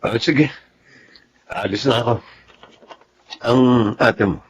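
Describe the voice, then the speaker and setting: A Filipino man playing a gentle, devoted young husband and father in a radio drama, speaking softly and deliberately. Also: medium pitch, a little hiss or hum, lightly acted. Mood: resigned, sincere.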